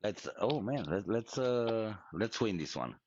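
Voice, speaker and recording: A man's voice: on the low side, expressive, a little slow, darkish tone, no room sound. A man born in Romania chatting playfully with a streamer during a live stream. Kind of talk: casual chat in a live stream battle. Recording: dull lo-fi sound.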